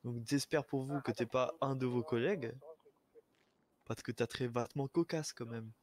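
A man plays a police officer in improvised game role-play. His voice is mid-pitched and conversational, and he argues his point insistently. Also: normal speed, a little mellow.

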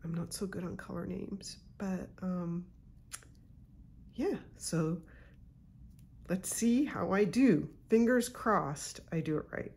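A woman with a head cold talks through an unboxing in a slow, relaxed way, her voice husky and a little stuffed up. She sits low and easy in her register, adding quiet asides as she goes. The sound is that of a home desk. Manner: chatty, unhurried, with soft asides. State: congested from a cold. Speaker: YouTube channel host. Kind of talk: casual pen unboxing chat.

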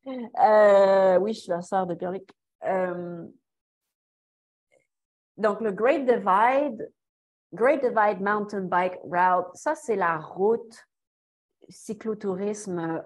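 A young woman with a Québécois French accent, giving a casual talk and answering questions on a video call, chatty, full of fillers and restarts. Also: mid-range voice. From Quebec. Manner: chatty, many fillers and restarts, laughs easily. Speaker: guest speaker, cyclist. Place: small office in front of a banner. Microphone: laptop webcam video call.

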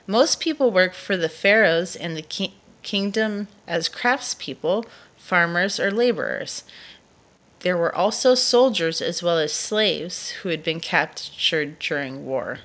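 A woman reading a children's nonfiction book aloud to a child in a measured storytelling delivery. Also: lowish voice, a bit bright.

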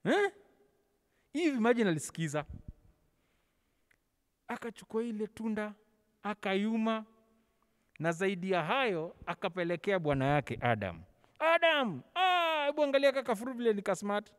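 High-pitched Kenyan man telling Bible stories. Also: big pitch swings, moderate pace, pauses often, a bit bright, smooth. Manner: theatrical, acting out dialogue with stammered repetitions; code-switches casually between languages. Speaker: church school teacher. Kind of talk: animated Bible storytelling for children. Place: large church hall, on stage. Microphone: handheld microphone, held close over face mask. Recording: long hall reverb.

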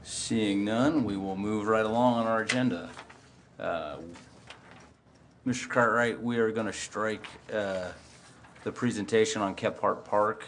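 Low-voiced American man chairing a meeting. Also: unhurried. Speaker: town council president chairing a meeting. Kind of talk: formal meeting procedure, spoken off the cuff. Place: indoor public meeting room. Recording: noisy meeting room audio.

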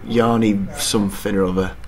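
A young man, co-hosting a casual football podcast and talking in an animated, opinionated way. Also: lowish voice, moderate pace. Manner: animated, opinionated, repeats himself when unsure. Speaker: podcast co-host. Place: small studio room with brick wall and curtain. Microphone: desk mic.

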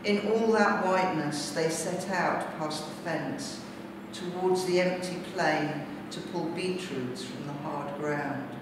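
A middle-aged woman with a British accent, a poet speaking to an audience in deliberate, measured phrases. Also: low voice. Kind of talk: poetry reading and reflective talk to an audience. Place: large bare brick-walled gallery room, indoors. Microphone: distant camera microphone. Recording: very echoey, noisy gallery hall, distant mic.

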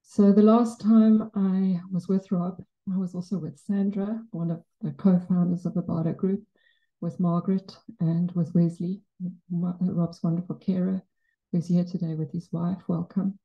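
A woman's voice: lowish voice, little pitch movement, normal speed, highs cut off. A middle-aged woman from South Africa whose first language is Afrikaans, speaking with an Afrikaans South African accent as she gives a reflective, lightly humorous personal tribute at a memorial in a Buddhist center. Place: Buddhist center shrine room, indoors. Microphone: handheld mic, far camera.

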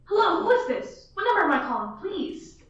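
Girl playing a panicky high-voiced invalid. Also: big pitch swings, brisk. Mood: anxious. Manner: anxious, pleading, fretful. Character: nervous invalid woman, frightened caller. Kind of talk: student radio play acting. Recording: distant echoey lo-fi recording.

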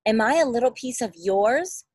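Woman voicing a small earnest storybook creature, lively pitch. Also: moderate pace, breathy edge, dry recording, studio quality. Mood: earnest, questioning. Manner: earnest, questioning. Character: small, earnest, searching little piece creature, male.

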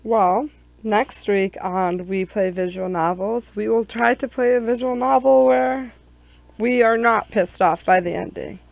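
A gaming show host chats in a casual way. The delivery is unhurried, and the voice is gravelly with fry. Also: mid-range voice, dry recording. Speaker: host of a visual novel show.

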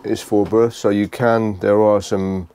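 A British man giving a casual, unscripted walkthrough tour, speaking haltingly and repeating phrases as he goes. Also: lowish voice, little pitch movement, warm tone, smooth. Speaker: vlogger giving a motorhome tour.

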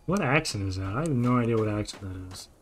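A young man casually commenting as he plays a video game, musing quietly to himself. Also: lively intonation, quick pace, a little hiss or hum. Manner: mumbled, low-key musing to himself. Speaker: gaming streamer. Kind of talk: relaxed let's-play commentary.

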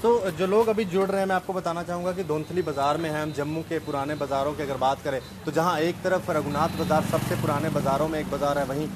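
A man's voice: on the high side, fairly even intonation, fast talker, few pauses. A man in his thirties hosting a walking street report in an old bazaar, earnest and full of fillers. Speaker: reporter host. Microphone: handheld mic. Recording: noisy outdoor street.

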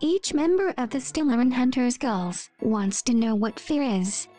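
A woman doing an expressive, theatrical scripted voice-over as she reads memes and comics. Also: fairly high pitch, unhurried. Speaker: meme video narrator. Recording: music behind the voice.

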